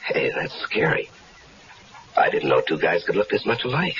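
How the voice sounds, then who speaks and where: An actor playing a battered, cocky drifter in a 1970s radio drama, with a gravelly, wisecracking delivery. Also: male voice, sits low, wildly swooping pitch, moderate pace, heavily acted. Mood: wry. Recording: muffled old radio recording with loud hiss.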